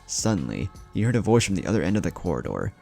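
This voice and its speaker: A man's voice: low voice, sing-song, quick pace, gravelly, mellow, soft background music. A man narrating a scripted fan fiction story.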